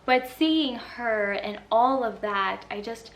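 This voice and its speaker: Female book vlogger, higher-pitched voice, chatty and opinionated, stresses key words. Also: takes it slowly, rough recording. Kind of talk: casual chatty book review to camera.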